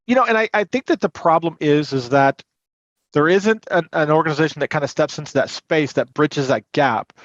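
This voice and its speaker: A man's voice: fairly high pitch, brisk. A man talking as an expert interview guest, explaining things earnestly in a conversational tone on muffled, roomy audio. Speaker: podcast guest, credit union compliance and recovery manager.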